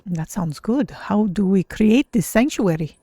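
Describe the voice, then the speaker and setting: Streamer doing a laid-back cat person adventurer, wry, really gravelly n soft dark tone, game roleplay. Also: medium pitch, lively intonation, measured pace, no room sound, clean studio sound. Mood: curious, willing. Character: easygoing cat-folk adventurer in a fantasy game.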